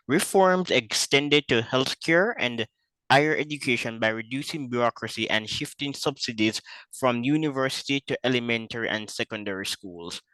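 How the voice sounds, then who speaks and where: A male interviewer asking direct questions and reading passages aloud. His voice is smooth and resonant, recorded close and dry with no room sound. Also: mid-range voice, a bit bright, dry recording, rough recording. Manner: reads quotes, asks direct questions. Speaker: interviewer host.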